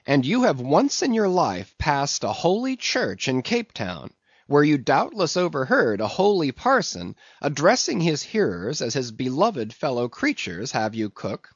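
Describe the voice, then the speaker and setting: A man voicing a brash, bossy whaling ship's mate in a storybook, teasing and interrogating loudly. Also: a little high, wildly swooping pitch, a little slow, breathy edge, no room sound, dull audio, pitched above the performer's own voice, full character voice. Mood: mock indignant. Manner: loud, teasing, interrogating, swooping exclamations.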